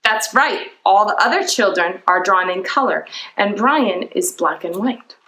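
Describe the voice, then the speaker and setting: A woman's voice: mid-range voice, lively intonation, unhurried, sharp tone, slight background noise, poor audio. A woman hosting a children's storytime, reading and explaining simply to young listeners and often putting questions to them. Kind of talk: children's storytime read-aloud and talk to young viewers.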